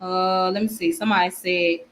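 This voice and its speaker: A woman's voice: lowish voice, steady tone, fairly quick. A woman with a strong Southern accent, hosting a casual livestream where she reads comments and often repeats her phrases. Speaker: channel host.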